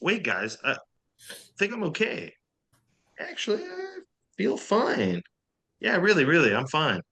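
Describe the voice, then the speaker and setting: Man as a bright, very animated hapless goofball. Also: high-pitched, unhurried, breathy edge, heavily acted. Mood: dazed relief. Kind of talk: comedic script table read.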